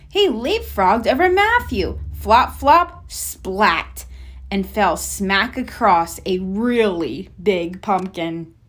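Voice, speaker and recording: Slow, animated woman reading a storybook. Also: mid-range voice. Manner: playful sound effects. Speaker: storybook reader. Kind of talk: expressive children's read-aloud narration.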